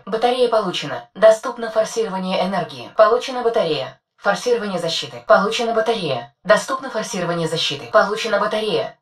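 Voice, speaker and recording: A composed female war-machine AI that rattles off short, formal combat reports at a rapid clip, as a woman voices her for a game. Her voice sits fairly low for a woman. It sounds set back from the microphone. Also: far from the mic, natural voice. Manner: clipped, formal military status reports. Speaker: voice actor. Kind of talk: video game dub voice lines.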